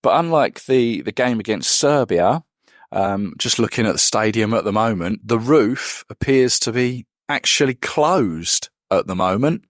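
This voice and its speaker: A man's voice: lowish voice, lively intonation, unhurried, no room sound, clean studio sound. A man hosting a podcast, giving rambling on-the-spot commentary from a football stadium, with many ums and restarts. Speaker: podcast host reporting from a match. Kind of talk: on-the-spot match-day commentary. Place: at a football stadium, outdoors and in the stands.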